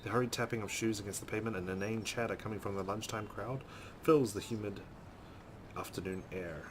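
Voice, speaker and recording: Casting: male let's play host, lowish voice, dramatic reader with casual asides, noticeably noisy recording. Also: a little fast. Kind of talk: dramatic read-aloud with casual commentary.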